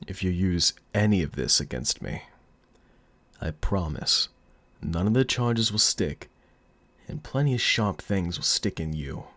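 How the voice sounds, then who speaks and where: A man's voice: low-pitched, a bit bright, no room sound, heavily acted. A man playing a cocky, flirty, menacing mafia boss in an audio roleplay, with a put-on mobster accent and a smug, teasing drawl. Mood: smug, threatening.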